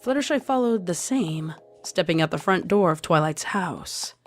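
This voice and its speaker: A woman's voice: on the low side, lively intonation, normal speed, soft background music. A woman reading scripted story narration as the narrator.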